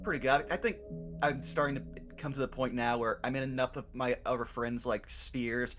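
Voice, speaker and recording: A man's voice: mid-range voice, fairly even intonation, moderate pace, a bit bright. A man joining a friend's stream as a guest, chatting casually in a laid-back way with lots of fillers. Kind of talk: casual chat. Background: music.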